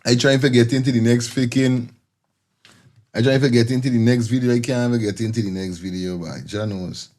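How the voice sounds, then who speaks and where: A man's voice: medium pitch, steady tone, a little fast, darkish tone. A man with a Guyanese Creole accent, co-hosting a casual chat, talking loosely with stammering restarts. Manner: loose, rambling, stammering restarts, laughs often. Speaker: co-host.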